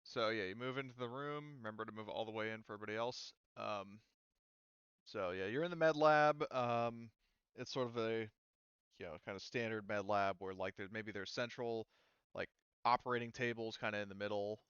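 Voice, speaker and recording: Man, game master, smooth low-leaning voice, dry close sound, casual tabletop narration, conversational explaining. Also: fairly quick, a bit bright, no room sound, highs cut off.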